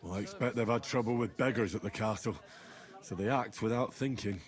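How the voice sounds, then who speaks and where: A man's voice: on the low side, a little fast, natural voice. An actor playing an earnest young man who reassures his friend. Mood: hopeful. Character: earnest young peasant man, loyal and hopeful.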